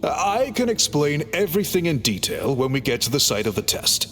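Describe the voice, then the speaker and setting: Calm formal chief guy, explains things politely, bright lively voice, faint music. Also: on the high side, moderate pace. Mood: reassuring. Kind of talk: game cutscene voice acting.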